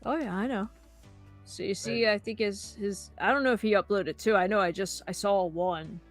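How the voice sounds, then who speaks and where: A woman's voice: medium pitch, normal speed, soft background music. A woman guesting on a live reaction stream, blunt and sarcastic, swearing freely. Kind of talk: casual reaction chat.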